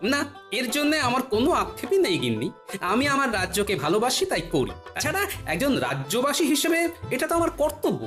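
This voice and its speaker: A man playing a witty, good-natured court jester in a cartoon, with a teasing, expressive delivery. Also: very high-pitched, a little fast, faint music behind, exaggerated voice. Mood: calm earnest. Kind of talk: animated cartoon dialogue.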